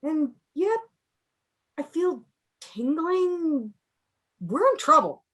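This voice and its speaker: A woman playing a melodramatic, odd and lonely male scientist in a theatrical table read, with halting delivery. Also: high-pitched, big pitch swings, fairly bright tone, full character voice. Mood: dramatic, alarmed.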